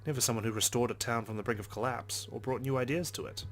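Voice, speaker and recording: A man's voice: medium pitch, steady tone, quick pace, fairly bright tone. A man reading visual novel narration aloud dramatically as a let's play host, with brief casual asides. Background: game background music.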